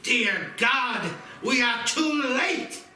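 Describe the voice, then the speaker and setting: A man's voice: very high-pitched, lively intonation, drawn-out words, sharp tone, full character voice. A man playing a grave, alarmed figure who warns of danger, urgent and dramatic, in a screenplay table read. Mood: horrified, urgent. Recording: echoey, distant, light music behind.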